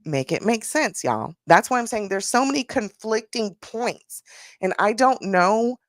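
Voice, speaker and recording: A woman's voice: mid-range voice, animated, a little slow, no room sound, clean studio sound. A woman hosting a casual commentary podcast, talking in a sassy, blunt way, stretching out her rhetorical questions. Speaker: podcast host.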